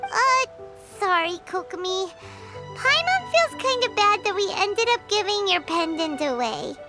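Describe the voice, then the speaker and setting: A woman voicing a tiny, childlike girl companion in a video game, earnest and excitable with a squeaky voice. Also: unhurried, over music. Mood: apologetic. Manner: refers to herself in third person. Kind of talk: video game character acting.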